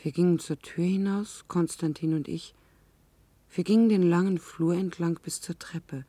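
A woman's voice: lowish voice, fairly even intonation, moderate pace, dark tone, dry recording, close to an everyday voice. An actress playing a troubled woman in a radio drama, restrained and formal in her speech. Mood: subdued. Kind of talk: 1970s radio drama acting.

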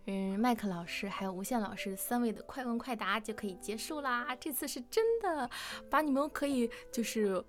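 A woman's voice: a little high, expressive, moderate pace, soft background music. A woman hosting a playful live-stream question-and-answer session. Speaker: host of a live Q&A with voice actors.

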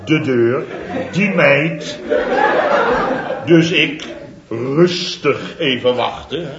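An actor playing a boastful middle-aged contractor, telling a comic story in a theatrical, chatty way for a 1970s radio comedy serial. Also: male voice, fairly high pitch, extremely slow, roomy sound, dull audio, heavy background noise. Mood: indignant.

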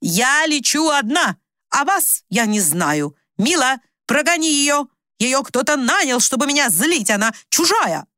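A woman's voice: fairly high pitch, sing-song, slow pace, pushed and bright, no echo, studio quality, pitched above the performer's own voice, full character voice. A woman voicing an agitated, confused old mother in an audiobook, imperious, insistent and accusing. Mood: indignant, accusing. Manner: insistent, accusing, talks without stopping. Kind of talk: dramatised audiobook reading.

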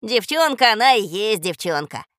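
A woman's voice: mid-range voice, sing-song, normal speed, fairly bright tone, dry recording, clean studio sound. A woman voicing a grumpy male talking pet bird in an audio storybook, scornful and muttering. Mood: grumbling. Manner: muttering, sneering. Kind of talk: audio storybook character acting.

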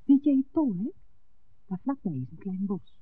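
An actress playing a lively, romantic young village barmaid in a 1960s Dutch radio drama. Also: female voice, mid-range voice, normal speed, breathy edge, narrow, tinny audio. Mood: eager. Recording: thin vintage 1969 radio recording.

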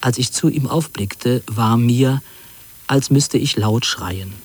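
A middle-aged man narrating an autobiographical radio piece in a measured, precise literary manner. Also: mid-range voice, slight background noise.

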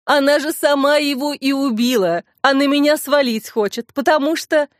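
A woman's voice: high-pitched, normal speed, no echo, studio quality, pitched above the performer's own voice, close to an everyday voice. A woman narrator voicing a haughty, evasive official's wife with a dismissive, scornful manner.

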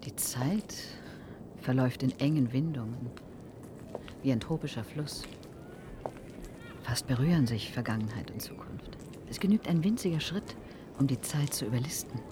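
Otherworldly detached woman, very low pitch, solemn, measured, radio drama, faint noise behind. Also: expressive. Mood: cryptic, calm. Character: detached, alien-possessed woman leaving Earth, scornful of emotion. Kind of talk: German radio play acting.

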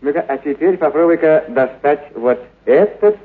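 A man's voice: a little high, expressive, moderate pace, breathy edge, phone-quality sound. An actor playing a kindly, enthusiastic male circus animal trainer in a radio play, muttering hesitantly and repeating encouragements. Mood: coaxing, pleased. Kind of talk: dramatized literary radio play acting.

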